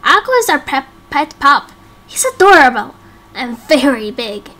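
A curious young child, acted by a woman in a game roleplay. The voice is very high, with an innocent, eager, excitable manner. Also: measured pace, exaggerated voice. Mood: excited.

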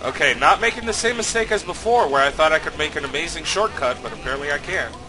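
A man commentating his own racing game playthrough, slightly sick and nasal, swearing often with sudden loud outbursts. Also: on the high side, animated, fast talker. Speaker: let's play host. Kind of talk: casual gameplay commentary.

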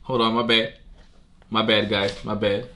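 A man's voice: fairly low pitch, flat delivery, a little slow, bright, forceful tone. A young man in his twenties giving casual reaction commentary from his bedroom, talking loosely with slang, bursting into laughs and exclamations. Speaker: music video reactor. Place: bedroom with wardrobe, indoors. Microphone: webcam with headphones on. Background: music video playing.

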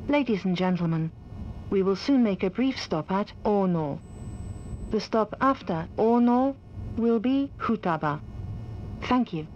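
Unhurried female train announcer. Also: medium pitch, lively intonation. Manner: polite, measured, scripted. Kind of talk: formal pre-recorded train announcement. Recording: dull sound with faint background noise.

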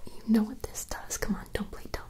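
A woman's voice: brisk, lightly acted. A woman whispering as a fantasy woman puzzled by modern things. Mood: teasing. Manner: soft whispering, dramatic and playful. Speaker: ASMR roleplayer. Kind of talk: ASMR roleplay.

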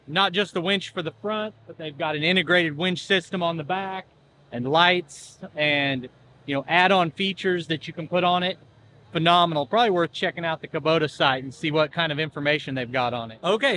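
A man's voice: a little high, bright, forceful tone, a little hiss or hum. A man in his thirties from Southeast Kansas, hosting a casual walkaround chat about equipment in a large indoor expo hall. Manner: easygoing, plainspoken, enthusiastic. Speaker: farm equipment channel host. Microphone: handheld camera close up.

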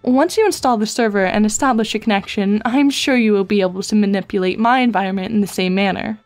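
A woman voicing a dry, precocious teenage girl in a web comic dub, speaking in a measured, formal, deadpan way. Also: medium pitch, soft background music, natural voice. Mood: matter-of-fact.

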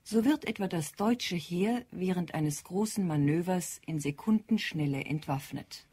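A woman's voice: on the low side, measured pace, warm tone, breathy edge. A woman narrating a radio drama as a scripted present-tense report.

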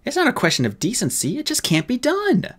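A man's voice: very high-pitched, huge pitch swings, fast talker, fairly bright tone, breathy edge, voice pushed up, full character voice. A man voicing a testy, blunt female fairy police captain in an audiobook story. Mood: grim, insistent. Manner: snappish, blunt, skeptical.

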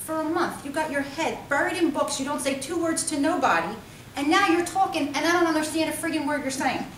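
A woman voicing a loud, teasing working-class young man of twenty-two, reading him in a storybook with a blustering, slangy manner. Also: high-pitched, fairly quick, voice pushed up. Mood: exasperated. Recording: roomy, distant, faintly noisy.

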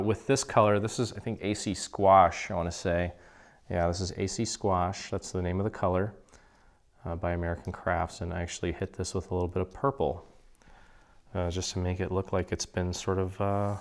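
A man with a slightly hoarse voice from a cold, walking through a paper craft project in a relaxed, chatty way. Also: low-pitched. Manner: relaxed, chatty, clears his throat now and then. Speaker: craft tutorial host. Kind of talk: casual step-by-step crafting instruction. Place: indoor craft table.

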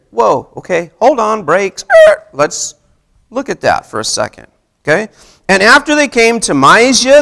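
A man's voice: high-pitched, a bit bright. A man in his thirties preaching a casual sermon to a seated congregation in a church hall, talking conversationally and tossing questions to the room. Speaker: pastor. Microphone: handheld mic, far camera.

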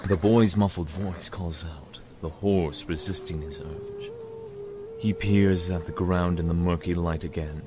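A man's voice: sits low, wildly swooping pitch, measured pace, background noise. A man giving scripted audio drama narration in a literary, dramatic storytelling manner.

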